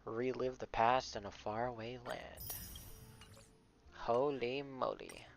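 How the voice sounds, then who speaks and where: Slow, laid-back young male streamer. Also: medium pitch, huge pitch swings, creaky. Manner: laid-back, halting, slangy. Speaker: game streamer. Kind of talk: casual livestream commentary. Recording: game music underneath.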